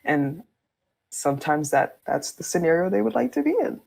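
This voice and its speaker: A woman with a low, husky voice and plenty of vocal fry talks casually on a podcast. She explains her views candidly, in loose, informal phrasing. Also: sing-song, normal speed, a little mellow.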